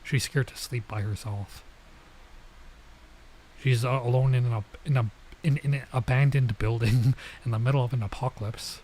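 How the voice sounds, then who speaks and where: A man's voice: mid-range voice, steady tone, normal speed, a little mellow, a little hiss or hum. A young man casually commenting while playing a visual novel, chatty and laid-back, peppering his talk with "like" and "bro". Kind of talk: casual let's-play commentary.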